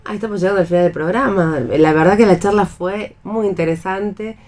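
A woman's voice: mid-range voice, brisk, darkish tone. A woman with an Argentine accent hosting a casual radio chat. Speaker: radio host.

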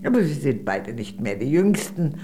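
Witty, animated old lady. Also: extremely low pitch, faint music behind. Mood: wry, confiding. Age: older. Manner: genteel, arch, unhurried confiding delivery. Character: witty, worldly old lady, former communist, evasive and gracious. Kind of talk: radio play dialogue.

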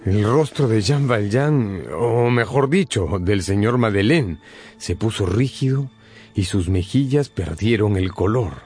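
A man's voice: on the low side, lively intonation, measured pace, darkish tone, husky, faint music behind. A man narrating a radio drama as a storyteller, with dramatic emphasis.

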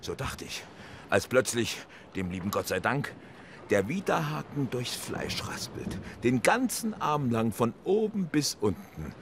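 An actor playing a hearty, garrulous one-armed whaling captain in an audio drama, speaking like a vivid yarn-spinner. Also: male voice, mid-range voice, big pitch swings, a little slow, creaky, slight background noise. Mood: excited storytelling.

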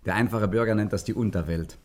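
A male actor plays a suave gentleman gangster in an old radio comedy. His voice sits low. He speaks with pompous relish, very pleased with himself and playful about his grand ambitions. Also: fast talker, natural voice. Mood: jovial, scheming. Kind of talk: 1950s German radio play acting.

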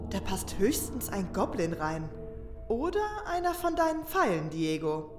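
A woman's voice: medium pitch, very animated, a little slow. A woman playing a wry, teasing adventurer in a fantasy audio drama, recorded with echo under music. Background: prominent music.

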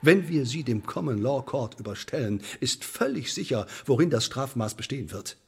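An actor in a radio play voicing a pompous, pedantic sheriff who interrogates a suspect in stiff bureaucratic language. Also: male voice, medium pitch, animated, moderate pace, vocal fry, breathy edge, full character voice. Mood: menacing, smug.

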